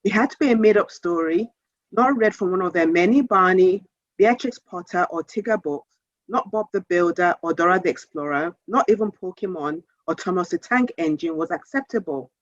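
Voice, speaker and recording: A woman author reading a children's story aloud in a measured, expressive storytelling manner. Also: fairly low pitch, no room sound, dull audio.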